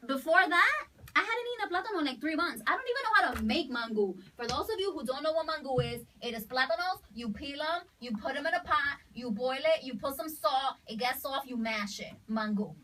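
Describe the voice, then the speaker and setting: A young woman with a high, bright voice hosts a casual call-in talk show. She is chatty and animated and laughs easily. Her voice sits a little back from the mic. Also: brisk, recorded from a distance, a little hiss or hum, rough recording. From Dominican American, New York.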